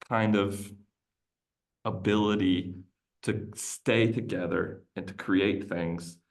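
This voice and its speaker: A man, a podcast guest, chatting casually and telling a long rambling story, often looping back and restarting his sentences. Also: on the low side. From United States. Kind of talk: casual conversational interview.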